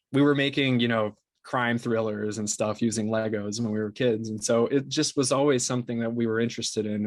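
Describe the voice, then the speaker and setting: A man answering interview questions conversationally as a guest, explaining things with repeated words and trailing pauses. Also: mid-range voice, no echo. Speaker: interview guest, podcast co-founder and sound designer.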